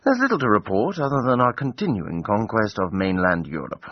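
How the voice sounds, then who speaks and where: An actor playing a breezy, self-regarding English gentleman adventurer in a period radio drama, urbane and wry, with formal old-fashioned phrasing. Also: on the low side, sing-song, fairly quick, no room sound. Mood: wry, composed. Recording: dull, band-limited broadcast audio.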